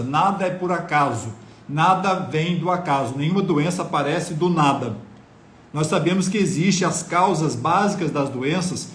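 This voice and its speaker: A man speaking in a fairly high voice gives an earnest health lecture over a live stream and keeps putting rhetorical questions to his viewers. His voice rings around a bare, echoey room, with a faint hiss underneath, picked up by a phone. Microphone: phone on mobile data.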